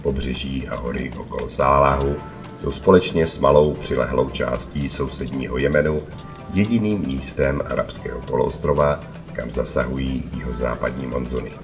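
A man's voice: a bass voice, over music. A man narrating a travel vlog from a prepared script, as a voice-over.

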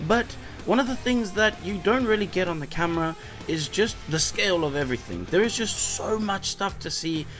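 A man in his thirties narrating a vlog in a relaxed, rambling, easygoing way. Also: high-pitched, moderate pace. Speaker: vlogger and hobby shop owner. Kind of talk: casual vlog voice-over. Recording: voice-over with music underneath. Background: background music.

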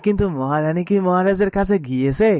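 A man's voice: high-pitched, a little fast, darkish tone, no room sound, studio quality, lightly acted. A man voicing a loyal, tender-hearted royal servant in a fairytale cartoon, speaking earnestly and with sympathy. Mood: relieved. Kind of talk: Bengali fairytale cartoon dialogue.

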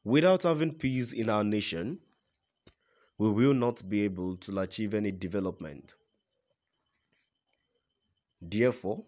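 Man, lowish voice, formal news narrator, scripted read-aloud delivery. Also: darkish tone.

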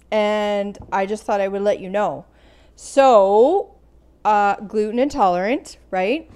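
Woman, middle-aged, slow casual chat, kitchen vlog, earphone mic, repeats phrases. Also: mid-range voice, darkish tone. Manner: chatty, repeats phrases, frequent "right?". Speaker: vlogger. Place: home kitchen, indoors. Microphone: earphone headset mic, phone held close.

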